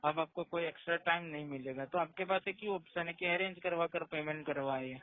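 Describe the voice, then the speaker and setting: High-pitched male collection agent on phone. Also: a little fast. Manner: insistent, explanatory, repetitive. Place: call centre, on a phone line. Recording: tinny, band-limited phone call recording.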